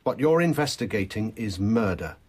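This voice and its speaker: A man's voice: on the low side, expressive, normal speed, slightly rough. An actor playing an agitated, insistent man in his thirties with a British accent, in a radio drama. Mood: insistent, disbelieving. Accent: British English. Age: 30s. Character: distraught, emphatic well-dressed man in his thirties.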